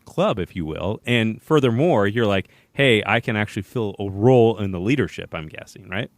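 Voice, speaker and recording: A podcast host with a low voice who interviews his guest casually. He asks curious follow-up questions and restates the guest's points. The sound is dry and close. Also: animated, normal speed, no echo.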